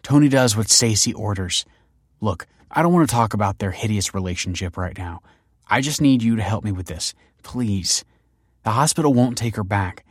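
A man's voice: lowish voice, animated, normal speed, dry recording, clean studio sound. A man voicing an alarmed, insistent woman in a narrated story, emphatic and pleading. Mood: pleading, worried. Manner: emphatic, pleading, stammering when frightened.

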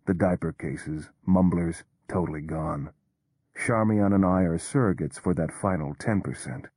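A man with a deep, husky voice narrates a story in the first person. His delivery is measured and understated, never overplayed. The recording is close and dry. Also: darkish tone, no echo.

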